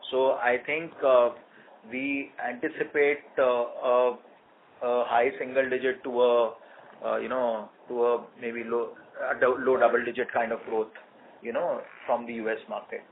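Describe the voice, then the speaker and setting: A man's voice: mid-range voice, flat delivery, measured pace. A man with an Indian accent answering as a company executive on an earnings call, hesitant and often saying "you know". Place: conference phone call. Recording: muffled phone line with clear noise.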